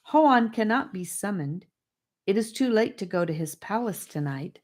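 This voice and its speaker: A woman voicing a grave, serious young male guide in a fantasy audiobook, solemn and measured. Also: medium pitch, lively intonation, a little mellow, pitched above the performer's own voice, natural voice. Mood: firm.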